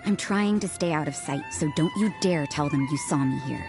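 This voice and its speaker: A woman voicing an aloof, cynical young nun in a video game, with a cool, languid, deadpan delivery. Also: deep voice, moderate pace. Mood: languid, dry. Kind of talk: scripted game voice lines. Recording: music behind the voice.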